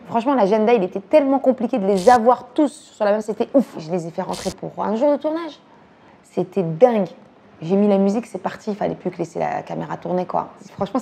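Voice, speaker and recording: A woman from Belgium in her thirties, talking casually and quickly about music and dance, using slang and repeating words. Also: mid-range voice, vocal fry, warm tone, a little hiss or hum. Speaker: actress and director talking about her influences. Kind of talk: casual chat.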